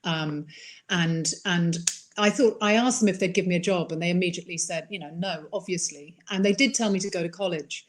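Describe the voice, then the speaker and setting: Middle-aged woman, Irish accent, low-set voice, chatty interview guest who fills pauses with "um". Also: a little hiss or hum. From England. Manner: enthusiastic, chatty, many fillers. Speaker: wildlife filmmaker guest. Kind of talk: casual interview answers.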